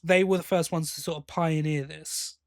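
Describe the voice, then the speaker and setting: A high-voiced man talks casually as a podcast co-host. He sounds enthusiastic and appreciative, and the recording is close and dry. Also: fairly even intonation, normal speed, no room sound. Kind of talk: casual fan discussion.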